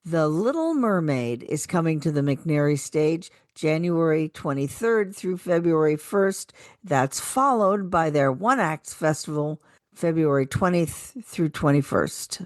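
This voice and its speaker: Woman radio presenter, very unhurried scripted read, dry studio sound. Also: low voice, expressive, no echo. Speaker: radio co-host. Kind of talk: scripted calendar announcing.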